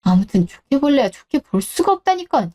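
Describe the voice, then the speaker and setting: A woman voicing a blunt, sharp-tongued daughter in her fifties in a radio drama who speaks in an exasperated way. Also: high-pitched, big pitch swings, brisk. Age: 50s.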